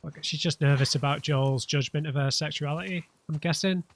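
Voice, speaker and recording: A man's voice: a little high, fairly quick. Slightly nasal man musing over gameplay. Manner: relaxed, musing aloud. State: losing his voice, nasal from hay fever. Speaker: let's play gamer. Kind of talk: gameplay commentary.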